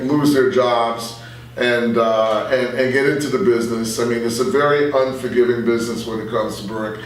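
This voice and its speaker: An older man reflecting in a casual interview as a veteran radio guest, animated and repeating phrases for emphasis. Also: mid-range voice, distant mic. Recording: very echoey room, off-mic, faint hum.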